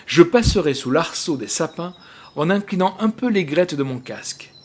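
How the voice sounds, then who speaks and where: A man's voice: on the high side, lively intonation, normal speed. A man voicing a proud, fearless young knight in a grand, declamatory storybook manner. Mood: bold, resolute.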